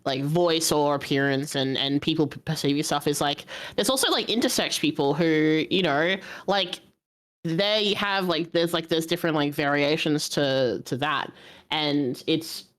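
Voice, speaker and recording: Low-voiced woman, halting casual talk. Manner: halting, many fillers and restarts. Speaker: panel guest. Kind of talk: casual panel chat.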